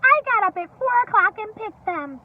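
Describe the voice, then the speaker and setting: A woman's voice: extremely high pitch, lively intonation, moderate pace, far from the mic, phone-quality sound, pitched above the performer's own voice. A woman voicing a small, cheeky little pig in a sing-song storybook manner. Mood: smug.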